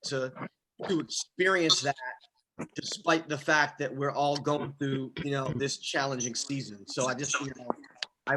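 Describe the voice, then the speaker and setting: A man's voice: medium pitch, sharp tone, a little hiss or hum, rough recording. A man giving casual feedback on a video call, rephrasing and repeating his words as he goes. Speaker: audience member giving feedback. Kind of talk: casual spoken praise. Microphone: video call microphone.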